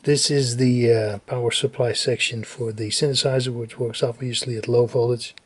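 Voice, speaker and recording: A man giving a casual technical show-and-tell as a radio restorer, halting and searching for his words as he explains. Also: mid-range voice, fairly even intonation, husky. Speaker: radio restorer presenting a demonstration.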